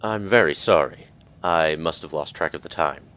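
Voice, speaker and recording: A man playing a grave, courteous investigator in a radio play, with a measured, brooding way of speaking over music. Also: rumbling low, fairly bright tone. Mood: apologetic. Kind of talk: radio play acting with journal narration. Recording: music behind the voice.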